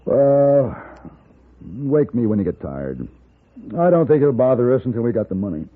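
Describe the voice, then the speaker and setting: An actor playing a cool, sardonic gunfighter for hire with an American accent, dry and unhurried, in a 1950s radio Western. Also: male voice, mid-range voice, very animated. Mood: wry. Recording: noisy, phone-like 1950s radio recording.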